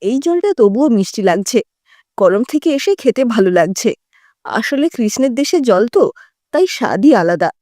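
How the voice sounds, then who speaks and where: A fussy, bossy elderly Bengali mother-in-law as a woman storyteller plays her. She grumbles and chides in a homely way, with a lively, swooping pitch and a soft-edged tone, recorded close and dry. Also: normal speed, no echo. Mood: pleased.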